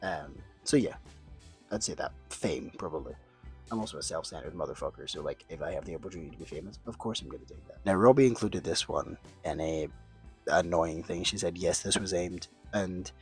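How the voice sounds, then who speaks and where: A man's voice: deep voice, lively intonation, fairly quick, husky, faint music behind. A young man, rambling through would-you-rather questions in casual chat, mocking himself, swearing offhand and restarting his sentences. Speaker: video creator answering questions.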